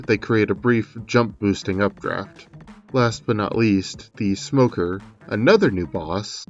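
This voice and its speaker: A man's voice: lowish voice, lo-fi sound. A man narrating a scripted video game analysis, with conversational asides thrown in. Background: music.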